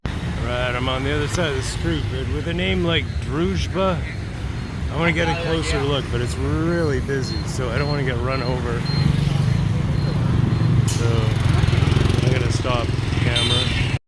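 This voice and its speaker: A man's voice: mid-range voice, steady tone, normal speed, long pauses. A Canadian man vlogging casually while walking a street, rambling and chatty with many fillers. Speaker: travel vlogger. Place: busy street outdoors. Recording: noisy street recording. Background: loud traffic.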